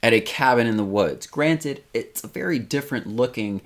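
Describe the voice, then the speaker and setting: A young man co-hosting a podcast in casual chat, rambling and animated, laughing often. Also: medium pitch, moderate pace. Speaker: podcast co-host.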